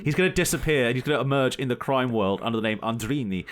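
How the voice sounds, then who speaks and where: A man's voice: medium pitch, fast talker, a little hiss or hum. A man co-hosting a casual podcast chat, rambling and joking with frequent laughs. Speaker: podcast co-host.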